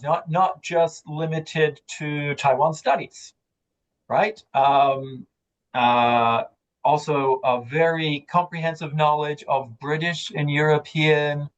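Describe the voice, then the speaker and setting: A man moderating an academic book talk, speaking in a measured, slightly halting way as he introduces the authors. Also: on the high side, highs cut off.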